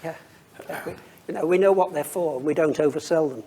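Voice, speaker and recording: A man's voice: a little high, fast talker, dark tone, slightly rough. A man speaking as an industry expert on a panel, explaining technical points informally and thinking aloud as he goes.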